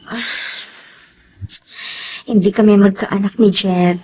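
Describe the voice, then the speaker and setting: An actress playing Abi, a young wife who cannot have children, speaking softly and hesitantly. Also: female voice, mid-range voice, steady tone, slow pace, highs cut off, a little hiss or hum, natural voice. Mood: hurt. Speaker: Abi, married woman. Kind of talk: Tagalog drama acting.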